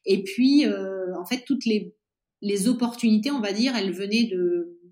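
A woman's voice: medium pitch, normal speed, a little mellow. Chatty French woman in her thirties. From France. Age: 30s. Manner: hesitant, many fillers and restarts. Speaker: podcast guest, entrepreneur. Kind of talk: casual interview chat.

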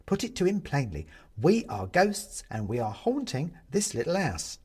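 A man's voice: fairly high pitch, huge pitch swings, normal speed, a little mellow, slightly rough, pitched above the performer's own voice, heavily acted. A man voicing a tiny, prim Victorian china lady ghost in a storybook, solemn and bossy, relishing her words. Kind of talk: storybook character voice.

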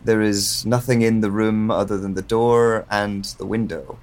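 An actor playing a confused man with no memory in an audio drama, narrating in the first person in a measured, pensive, halting way. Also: fairly low pitch, fairly even intonation, lightly acted. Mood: wary, observing. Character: confused amnesiac man waking alone, searching for answers.

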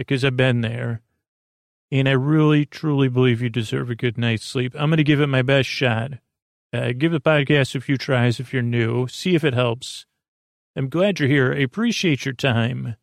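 A man with a creaky voice hosting a bedtime podcast, meandering hesitantly, mumbling and trailing off in a goofy way. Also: medium pitch, no room sound, studio quality. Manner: silly, goofy. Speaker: podcast host. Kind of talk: rambling bedtime podcast monologue.

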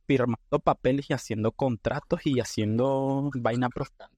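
A man's voice: medium pitch, steady tone, normal speed, a little mellow, no echo. Playful joking man, podcast co-host. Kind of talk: casual podcast chat.

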